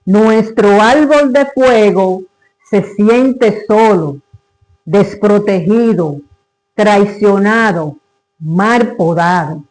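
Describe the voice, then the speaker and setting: Slow, high-pitched man reciting solemnly. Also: darkish tone, poor audio. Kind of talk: dramatic recited literary monologue.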